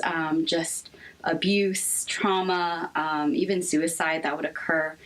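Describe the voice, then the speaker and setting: A young woman from Seattle, a therapist answering interview questions earnestly, with frequent ums and pauses. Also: fairly low pitch, low-quality recording. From Seattle, Washington. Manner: hesitant, many ums, earnest. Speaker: guest therapist.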